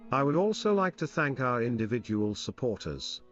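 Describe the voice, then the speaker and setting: A man's voice: medium pitch, sing-song, moderate pace, highs cut off, soft background music. A man hosting a podcast, reading scripted sponsor messages and thanks in a droll, tongue-in-cheek way. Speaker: podcast host.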